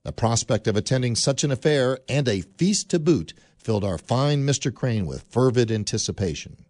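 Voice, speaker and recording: Warm, dry-voiced male storyteller. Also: medium pitch, expressive, no echo. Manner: measured, storytelling. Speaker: narrator. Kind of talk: scripted narration.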